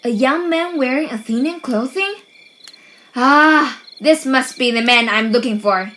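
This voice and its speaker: A woman's voice: sits high, a little slow, bright, forceful tone, a little hiss or hum. A woman playing an eager, obedient fairy servant in an amateur radio drama, dutiful toward a master. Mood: curious.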